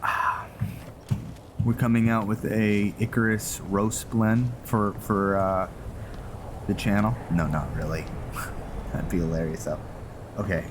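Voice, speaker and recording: A man's voice: fairly low pitch, steady tone, slow pace, long pauses, background noise. A man giving casual, laid-back commentary as he roleplays through a video game, musing aloud and chatting to viewers. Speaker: gaming video host. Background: game music.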